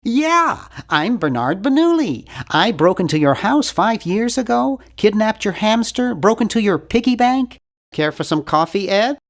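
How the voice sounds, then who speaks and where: A man's voice: sits high, sing-song, a little slow, exaggerated voice. A man playing an earnest, awkward, nerdy young cartoon hero in an adventure game, with a nasal, geeky, carefully worded delivery. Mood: chatty, awkward. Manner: geeky, over-articulate, earnest. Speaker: video game voice actor. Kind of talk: animated adventure game character lines.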